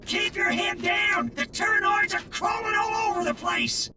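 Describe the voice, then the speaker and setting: A man playing an excitable, gung-ho soldier who barks urgent, eager battlefield briefings. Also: normal speed, creaky, exaggerated voice. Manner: urgent, eager, deferential barking. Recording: echoey, distant, battlefield rumble and noise.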